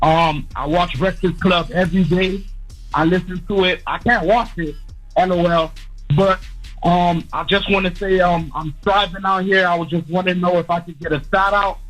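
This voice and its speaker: A nervous man from Florida stammers through his call to a radio show. His voice is quite high, and music plays faintly behind. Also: steady tone, moderate pace, a bit bright, rough recording. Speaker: caller, rapper.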